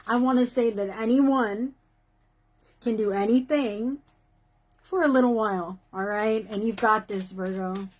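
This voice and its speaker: Hoarse-voiced woman giving a tarot reading. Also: fairly high pitch, a little slow. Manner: chatty, encouraging, many fillers like "you know" and "okay". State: losing her voice. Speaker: tarot reader. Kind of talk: casual tarot horoscope reading.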